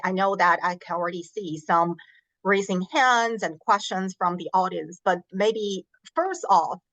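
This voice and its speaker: A woman's voice: lowish voice, fairly bright tone, no echo. A woman moderating a career webinar over a video call, chatty and friendly, with some hesitations. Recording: dull lo-fi call audio.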